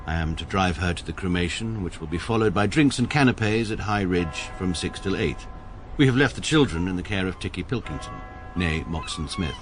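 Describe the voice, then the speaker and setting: An actor in his forties playing a pompous, precise barrister who narrates in a dry, deadpan, wry manner. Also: sits low, slight background noise. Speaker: first-person narrator and lead character.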